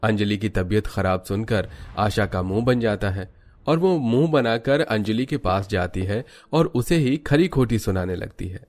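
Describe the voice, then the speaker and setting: A man's voice: lowish voice, a little slow, a little mellow. A man narrating a scripted children's cartoon story.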